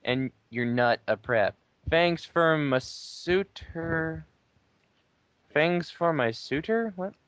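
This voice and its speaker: A man's voice: on the high side, expressive, slow, a bit bright, smooth, no room sound. A man giving a dramatic reading of fan fiction, breaking off with mocking asides. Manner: breaks off to mock the text. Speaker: player in a dramatic reading game.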